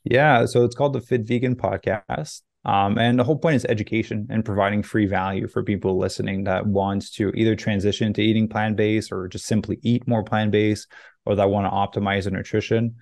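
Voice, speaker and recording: Low, creaky young man. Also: steady tone, fairly quick, a little mellow, no room sound. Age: young adult. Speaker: fitness coach, podcast guest. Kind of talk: casual interview talk. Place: indoor home office, video call. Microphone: close desk microphone.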